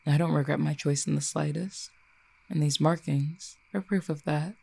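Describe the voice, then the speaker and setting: A performer in an audio roleplay voicing a composed, defiant exiled cupid with a dangerous streak, speaking in measured, formal phrases. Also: mid-range voice, steady tone, gravelly, darkish tone, husky, no echo. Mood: calm, unrepentant. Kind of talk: audio roleplay acting, addressed to listener.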